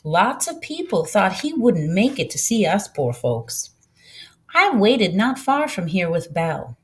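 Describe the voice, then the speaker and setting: Woman voicing a kindly old farm woman, animated lilt. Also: mid-range voice. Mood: proud storytelling. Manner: folksy, unhurried storytelling.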